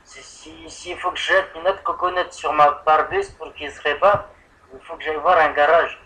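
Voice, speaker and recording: A man's voice: fairly high pitch, steady tone, normal speed, bright, forceful tone, slight background noise. A man on a telephone line making a prank call as a customer, rambling and hesitant as if confused. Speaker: prank caller posing as an insurance customer. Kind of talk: improvised prank phone call.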